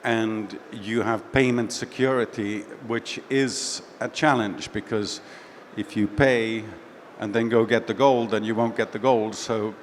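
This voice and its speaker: A man's voice: fairly low pitch, slight background noise. Man with a light Finnish accent. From Finland. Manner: relaxed, conversational, with fillers. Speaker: panelist, company CEO. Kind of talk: conference panel talk. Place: expo stage.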